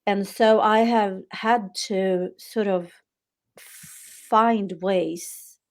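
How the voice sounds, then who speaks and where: A woman's voice: medium pitch, steady tone, very slow. A middle-aged woman from Sweden with a Swedish accent, a guest author and yoga therapist talking earnestly and reflectively in a podcast interview, often restarting her phrases.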